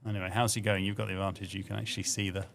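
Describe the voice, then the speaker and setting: Gravelly low-voiced male commentator. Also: flat delivery, rapid-fire, fairly bright tone. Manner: chatty, self-deprecating, trails off and restarts. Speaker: livestream commentator. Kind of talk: casual sports commentary.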